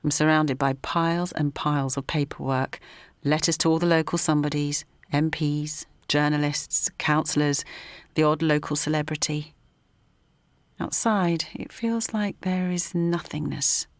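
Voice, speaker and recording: A woman's voice: deep voice, unhurried, no echo. Alice, an earnest mother. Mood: reflective. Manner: earnest, steady, reflective. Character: Alice, determined mother and campaigner. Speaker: narrator and lead character. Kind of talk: audio drama narration.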